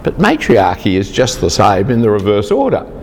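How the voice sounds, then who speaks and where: A man's voice: lowish voice, huge pitch swings, moderate pace, darkish tone, in a large hall, a little hiss or hum. A man from Australia, giving a lecture to a large group, chatty and teasing the audience.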